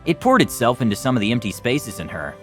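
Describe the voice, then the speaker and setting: A man's voice: medium pitch, very animated, fast talker, fairly bright tone, soft background music. A man narrating a children's storybook expressively, as a storytime reader. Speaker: storytime reader, music club teacher.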